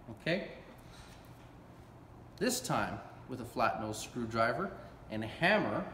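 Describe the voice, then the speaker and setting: A man with a slightly high voice explains a repair step by step in plain, folksy language. He often checks in with the listener as he goes. The recording rings like a big warehouse, with clear noise behind him. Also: fairly bright tone, roomy sound, long reverb tail. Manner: folksy, colloquial, checking in with "okay?". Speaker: hot tub repair technician presenting a how-to. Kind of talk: casual instructional demonstration. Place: large indoor warehouse with brick walls.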